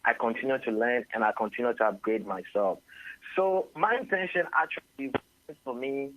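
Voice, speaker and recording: A Ghanaian singer-songwriter answering radio interview questions at length, often adding "you know". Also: male voice, mid-range voice, animated, normal speed, dry recording, narrow, tinny audio. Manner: rambling, tags sentences with "you know". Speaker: singer-songwriter and label head.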